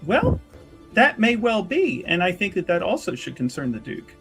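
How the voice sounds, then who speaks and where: A man's voice: high-pitched, huge pitch swings, moderate pace, fairly bright tone, heavily acted. A man voicing a smug visitor who is polite, evasive and condescending in a tabletop game. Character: smug, coolly polite visitor demanding an audience. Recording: music playing behind the voice.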